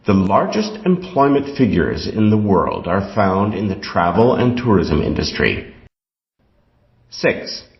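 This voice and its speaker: In a dull, echoey room, a man reads exam material from a script. His delivery is slow and deliberate, and his intonation rises and falls noticeably as he sets out each instruction. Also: lowish voice. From Canada. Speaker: exam passage reader. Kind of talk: scripted reading of test instructions and questions.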